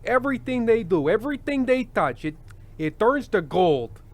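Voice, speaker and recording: A man playing a world-weary, fretful television host with halting delivery in an old-time radio drama. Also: high voice, big pitch swings. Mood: alarmed, insistent. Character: anxious, world-weary male TV show host.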